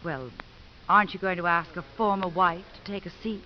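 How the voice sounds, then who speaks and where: An actress playing a brazen, scheming ex-wife in a 1950s radio drama. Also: female voice, fairly low pitch, steady tone, brisk, lightly acted. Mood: coy. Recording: muffled, noisy 1950s radio recording.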